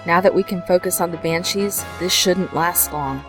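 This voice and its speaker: A woman plays a tough young fighter pilot in a fan audio drama, her calls short and urgent. Her voice is lower than most women's. Loud music runs under her lines. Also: normal speed, natural voice, poor audio. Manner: urgent, clipped radio chatter.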